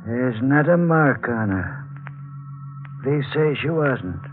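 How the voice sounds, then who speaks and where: An actor playing a somber, fatherly older morgue attendant in a 1940s radio drama, speaking gravely and mysteriously. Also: medium pitch, lively intonation, takes it slowly. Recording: tinny 1940s radio recording, faint music.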